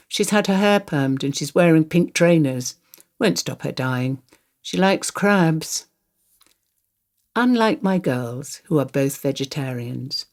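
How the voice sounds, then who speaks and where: A woman's voice: low-pitched, darkish tone. An older woman reads a radio play monologue as conversational first-person storytelling, in a wry, confiding way.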